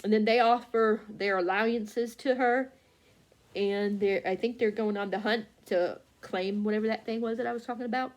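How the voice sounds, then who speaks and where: Woman book vlogger, halting casual chat. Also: on the high side, steady tone. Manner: halting, scattered, often losing her thread and correcting herself. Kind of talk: casual rambling chat about books.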